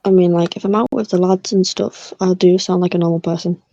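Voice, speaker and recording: A man's voice: sits high, steady tone, fairly quick, creaky, dark tone, dry recording, dull audio. A young man with a mixed Scottish and English accent, a podcast guest chatting casually and self-deprecatingly. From Scotland, then England. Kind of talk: casual chat.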